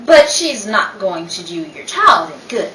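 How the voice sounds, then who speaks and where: A woman playing a meddling, gossipy high-society wife who feigns concern, gushing theatrically in a 1930s-style radio play. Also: big pitch swings, moderate pace, sharp tone. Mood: urgent meddling. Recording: echoey room, distant mic, faint noise.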